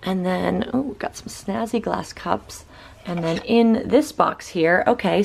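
A woman's voice: medium pitch, gravelly. A woman in her thirties, a travel vlogger giving a chatty, upbeat tour of a small hotel room, filming with a handheld camera. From Boston, USA. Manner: chatty, upbeat, thinking aloud with fillers. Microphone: handheld camera at arm's length.

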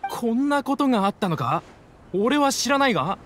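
A man playing an earnest, gruff-tempered mercenary in an anime game, blunt in manner and quick to take offense. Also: extremely high pitch, very animated, a bit bright, a little hiss or hum, heavily acted. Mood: indignant. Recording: faint music behind the voice.

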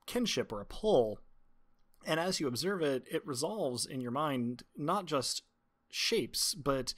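A man working as game master, narrating scenes in measured, literary phrasing with deliberate pauses. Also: mid-range voice, a bit bright. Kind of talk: descriptive tabletop game narration.

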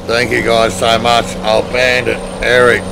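A middle-aged Australian man on a gaming stream, slow and gravelly, his voice going hoarse. He talks into a headset, excitably thanking viewers one by one. The audio carries a clear layer of background noise. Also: medium pitch. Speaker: livestreamer. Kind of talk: live game stream chat. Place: indoor room at a desk.

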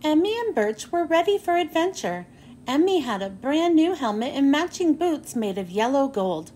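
A woman's voice: high-pitched, big pitch swings, normal speed, darkish tone, a little hiss or hum. A woman reads a children's storybook aloud, phrasing carefully and expressively for young listeners. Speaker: children's book reader and host.

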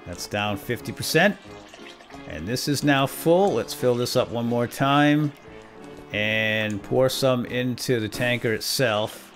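A man's voice: mid-range voice, expressive, takes it slowly, a bit bright, soft background music. A man hosting a let's play, giving casual gameplay commentary with playful exclamations and asides.

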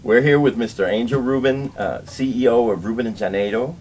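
A man interviewing guests, asking halting questions. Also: medium pitch, a little mellow. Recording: distant off-mic voice with faint noise.

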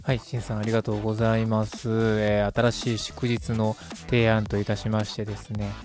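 A man's voice: lowish voice, completely flat delivery, normal speed. A man of about thirty hosting a casual radio talk, summing up and commenting in a polite, chatty way. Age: around 30. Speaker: radio host. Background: background music.

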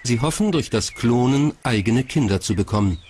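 A man narrates a documentary in pronunciation, in a mid-pitched voice. He reads a script, with clear ambient noise behind him. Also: expressive, normal speed, a little mellow.

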